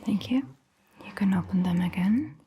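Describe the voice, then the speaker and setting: A woman playing a caring vampire doctor in an ASMR roleplay, whispering gently and reassuringly in a breathy, hushed voice. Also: medium pitch, a little hiss or hum, lightly acted. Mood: gentle, reassuring. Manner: gentle, slow, reassuring, softly questioning. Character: caring, attentive female vampire doctor tending a patient. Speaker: ASMR performer. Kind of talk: soft-spoken ASMR roleplay, mostly whispered.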